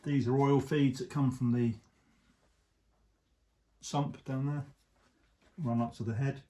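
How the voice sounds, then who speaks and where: Relaxed man talking through a repair. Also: mid-range voice, slow pace. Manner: relaxed, explanatory, many fillers. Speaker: motorcycle restorer, channel host. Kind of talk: casual workshop talk-through. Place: workshop or shed.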